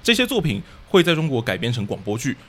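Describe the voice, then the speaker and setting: A Taiwanese man speaks as a podcast guest in a medium-pitched voice. He explains at a very fast pace, casually and with careful qualifications. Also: huge pitch swings, fairly bright tone, husky, soft background music. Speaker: podcast guest, radio drama troupe leader.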